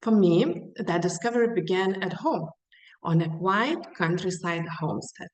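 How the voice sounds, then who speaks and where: A woman with a Lithuanian accent presents in a storytelling style, taking her time over each phrase. Her voice sits a little low. She sounds set back from the microphone in a roomy, echoing space. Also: lively intonation, a little mellow. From central Lithuania. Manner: unhurried, storytelling with rhetorical questions. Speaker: small hospitality business owner, guest speaker. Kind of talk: prepared webinar presentation. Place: online webinar, echoey room. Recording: roomy echo, distant microphone.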